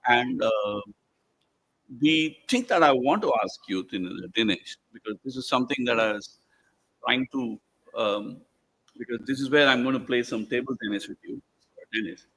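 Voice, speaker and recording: A man with an Indian accent moderating a panel discussion, speaking in a conversational, anecdotal way with halting digressions and lists. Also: mid-range voice, fairly bright tone, rough recording. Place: live event before a small audience.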